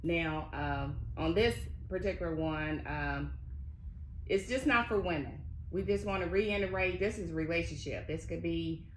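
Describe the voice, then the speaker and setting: Older woman, friendly and halting. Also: on the low side. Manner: friendly, hesitant with many ums. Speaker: community services member, guest. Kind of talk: casual interview. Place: union hall. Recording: echoey room, faint noise.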